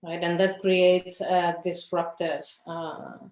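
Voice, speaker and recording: A woman talks about her work in a slow, halting way. Her voice sits a little low, and she keeps pausing and restarting as she searches for the right words. The room around her is echoey. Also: flat delivery, a little mellow. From Chile. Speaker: filmmaker, interview guest. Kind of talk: reflective conversation about her films.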